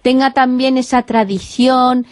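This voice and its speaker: A woman's voice: sits high, fairly even intonation, a little slow. Chatty Spanish woman radio co-host. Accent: Spanish (Spain). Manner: chatty, hedging, self-correcting. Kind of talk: radio chat.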